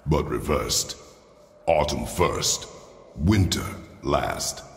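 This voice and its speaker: Gruff stern warrior dad from a game, super slow and deep, terse orders. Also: male voice, lively intonation, slight background noise, exaggerated voice. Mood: brooding, deliberate. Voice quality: very deep, gravelly. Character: stern, gruff, battle-hardened warrior father. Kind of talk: cinematic video game acting. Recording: roomy reverberant stone chamber, game ambience.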